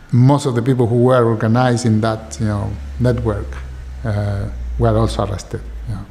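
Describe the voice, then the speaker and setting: A man's voice: fairly low pitch, little pitch movement, normal speed, gravelly, warm tone, slight background noise. An older Chilean-accented man, native in Spanish, recalling his past in an interview and often interjecting "you know". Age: 70s or late 60s. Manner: peppers talk with "you know". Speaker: documentary interviewee. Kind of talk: personal testimony.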